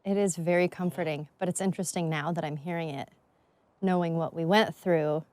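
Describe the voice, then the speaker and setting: A woman speaking as an actress, recalling her work on set in a casual commentary, animated and often laughing. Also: on the low side, a little fast, no echo. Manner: giggly, animated storytelling.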